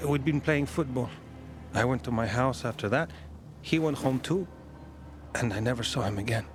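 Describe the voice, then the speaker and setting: An actor playing a curious, soft-hearted young son in a video game, speaking earnestly and a little hesitantly. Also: male voice, medium pitch, moderate pace, background noise, close to an everyday voice. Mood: wistful. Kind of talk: naturalistic video game dialogue.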